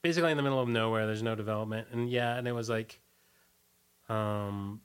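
A man's voice: lowish voice, steady tone, brisk, smooth. A man talking casually as an interview guest, telling anecdotes in a loose, fragmented way. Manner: loose, fragmented storytelling peppered with 'like'. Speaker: musician being interviewed as guest.

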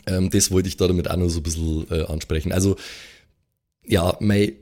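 A man with a fairly low voice talks in a casual podcast chat. He plays and runs role-playing games, and his speech is colloquial, sprinkled with English slang. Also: fairly even intonation, fairly quick, warm tone. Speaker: game master and player on a role-play podcast.

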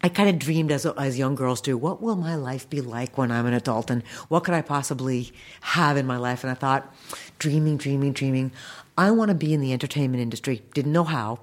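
Effusive American woman in her fifties. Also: a bass voice. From Long Island, New York, United States. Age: 50s. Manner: enthusiastic, effusive, animatedly quoting people in stories. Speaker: interview guest, animation voice director. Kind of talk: casual anecdotal interview storytelling.

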